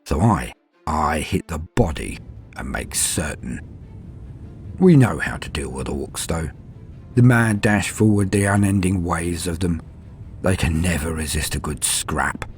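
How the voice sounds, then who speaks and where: A man reading as a proud, battle-hardened male space marine veteran, recounting battle in a measured, grim, matter-of-fact way. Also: sits low, expressive, faint music behind. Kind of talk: first-person fiction reading.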